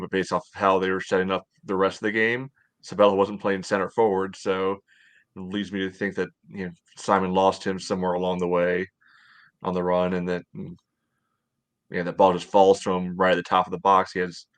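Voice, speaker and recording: A man in his thirties giving casual sports analysis in a measured, conversational way as a podcast co-host on a video call from home. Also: low voice, monotone, fairly bright tone. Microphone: headset on video call.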